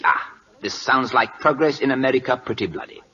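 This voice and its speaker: A man's voice: mid-range voice, fairly quick, pushed and bright, natural voice. An actor playing an imperious, inquisitive King of Siam in a radio drama, with a put-on Siamese accent and pompous, clipped broken English. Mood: curious, grandiose. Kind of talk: 1940s American radio acting. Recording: muffled old-time radio recording.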